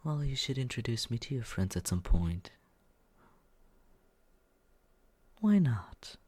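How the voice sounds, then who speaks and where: A man playing a smug, condescending but secretly caring young roommate in a teasing ASMR roleplay, with breathy, husky whispering and murmuring. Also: medium pitch, very animated, darkish tone, no echo. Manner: teasing, condescending, slow drawl.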